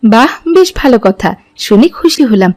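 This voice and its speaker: A woman playing a devout, gentle mother in an Islamic cartoon, speaking in a measured, instructive way. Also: a little high, sing-song. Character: devout, gentle mother guiding her children.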